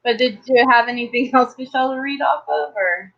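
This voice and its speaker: A woman with a slightly high voice chats casually on a panel. She explains things a little tentatively, and the audio is dull and lo-fi. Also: brisk, a bit bright. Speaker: talk show panelist. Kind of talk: casual discussion.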